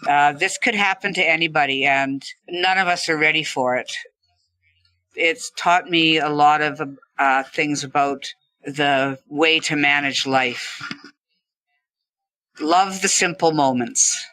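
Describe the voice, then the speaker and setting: Bright-voiced woman, low-pitched. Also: slow pace, no echo. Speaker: podcast guest. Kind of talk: reflective interview talk.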